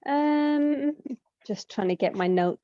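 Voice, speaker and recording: Woman, from the UK, sleepy student, slow hesitant talk, dull dry call sound. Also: sits high, lively intonation, mellow. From United Kingdom. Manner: hesitant, searching notes. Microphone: video call.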